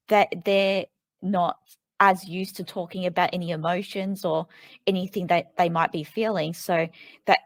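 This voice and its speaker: A woman with a fairly low voice, speaking as an author guest in an interview. She talks thoughtfully and hesitantly, pausing on ums and restarting phrases. The recording is dry and close. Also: no room sound. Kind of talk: conversational interview.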